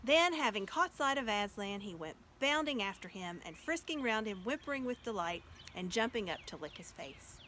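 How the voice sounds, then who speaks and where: A woman reading a children's story aloud as an expressive storyteller. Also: medium pitch, moderate pace, few pauses, slight background noise.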